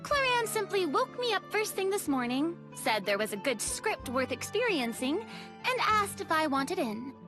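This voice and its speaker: Bright teasing young woman. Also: very high-pitched, sing-song, moderate pace, over music. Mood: casual. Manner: breezy, teasing, curious. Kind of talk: game cutscene voice acting.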